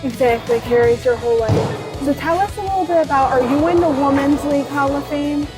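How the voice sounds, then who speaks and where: A woman works as an interviewer in a casual style. Her voice is somewhat high. Loud music plays behind her. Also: brisk. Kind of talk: casual interview, some reading aloud. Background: music, in a bowling alley.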